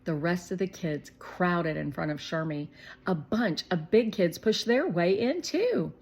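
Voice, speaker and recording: A woman narrating a children's storybook in an expressive, deliberate way. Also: on the low side, vocal fry, slightly rough. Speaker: read-aloud narrator.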